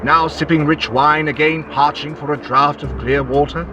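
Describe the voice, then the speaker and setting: A man's voice: fairly high pitch, fairly even intonation, moderate pace, background noise, natural voice. A man playing a sinister ghostly gambler in an audio drama, eloquent and coaxing, with theatrical, archaic formal phrasing. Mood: brooding, obsessed.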